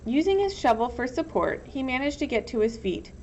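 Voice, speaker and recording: A woman's voice: high voice, sing-song, a little fast, a little mellow. A woman reading a children's novel aloud as storybook narration. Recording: dull, lo-fi with some background noise.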